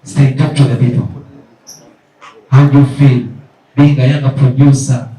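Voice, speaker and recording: Host, dark voice, echoing hall. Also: male voice, mid-range voice, fairly even intonation, a little slow, a little hiss or hum. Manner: hyping, switching between Luganda and English. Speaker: event host. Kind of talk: press event hosting. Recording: echoey hall from far off.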